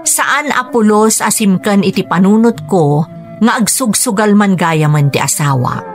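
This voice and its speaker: A woman's voice: medium pitch, lively intonation, measured pace, natural voice. An actress playing a long-suffering, middle-aged rural wife and mother in a radio drama, with an Ilocano accent. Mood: sorrowful. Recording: music under the voice.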